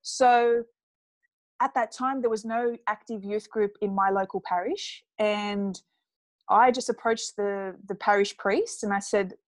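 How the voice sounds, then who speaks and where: A woman's voice: mid-range voice, steady tone, measured pace, no room sound. A woman from Australia with an Australian accent, chatting upbeat as an interview guest and laughing often. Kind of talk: casual interview chat.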